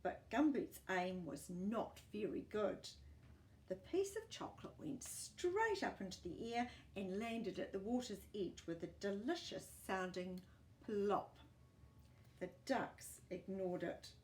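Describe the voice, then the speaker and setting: A woman reading a picture book aloud to young children in an animated, encouraging way, with chatty asides to the listener. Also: medium pitch, takes it slowly, echoey room.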